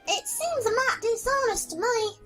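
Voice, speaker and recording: A tiny country filly with a Southern US twang and an extremely high voice. She sounds hesitant and homespun, a storybook character over clear background music. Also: lively intonation, moderate pace. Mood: doubtful.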